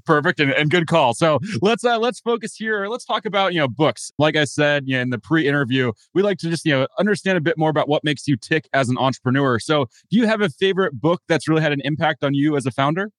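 Fast-talking male podcast host. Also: fairly high pitch, fairly bright tone, dry recording. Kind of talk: scripted intro then casual interview.

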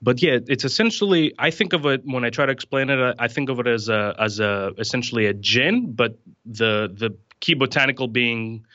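Greek-born man, 30s, podcast guest; bright tone, easygoing, rambling delivery, dry dull-ish recording. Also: medium pitch, moderate pace, few pauses, no echo. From Greece. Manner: relaxed, many fillers and restarts. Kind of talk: casual podcast conversation.

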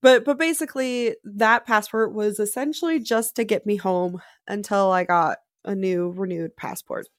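A woman talking as a guest in a casual podcast chat between friends, effusive, slangy and quick to swear. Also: mid-range voice, dry recording, clean studio sound.

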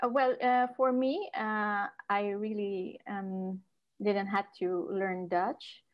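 A woman's voice: medium pitch, flat delivery, takes it slowly. Woman on muffled remote audio. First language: Nepali. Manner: polite, lists things with small hesitations. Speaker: guest expert in youth studies. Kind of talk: interview answers.

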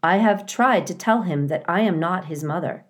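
A woman voicing an earnest, literal-minded female robot in a children's storybook, speaking in a measured, formal way. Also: on the low side, lightly acted. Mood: matter-of-fact. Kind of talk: children's storybook character reading.

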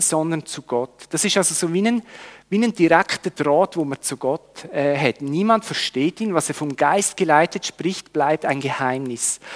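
A man's voice: a little high, long reverb tail. A man with a Swiss German accent teaching a Bible study in a conversational way, with tag questions and restarts. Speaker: preacher.

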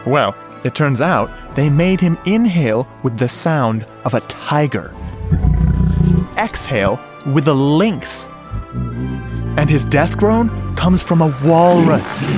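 A man acting in a radio drama as an enthusiastic sound-effects guy, explaining things conversationally with questions to the listener. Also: on the high side.

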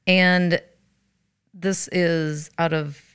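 Low-voiced, slightly raspy woman. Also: little pitch movement, extremely slow, a bit bright. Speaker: podcast co-host, hospice worker. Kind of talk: conversational podcast talk.

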